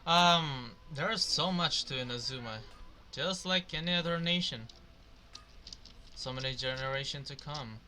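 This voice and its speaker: A man's voice: a little high, a little slow, pushed and bright, slight background noise. A man doing casual let's play commentary, musing hesitantly and reading choices aloud. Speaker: gamer playing and reacting.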